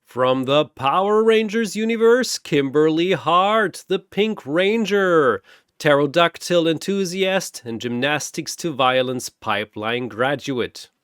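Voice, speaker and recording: Audio-story arena god, played by a male narrator: theatrical, grandiose, teasing ringmaster, animated delivery. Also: a little high, takes it slowly, smooth, no echo, studio quality. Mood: showy, mocking. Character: bored, gleefully mocking four-armed almighty god playing arena announcer. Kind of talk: bombastic showman ring announcing.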